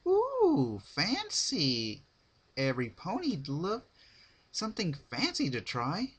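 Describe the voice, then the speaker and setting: A man voicing an excitable, scheming female party pony in a dramatic fan fiction reading. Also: sits high, slow pace, slightly rough, voice pushed up, exaggerated voice. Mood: sly excitement.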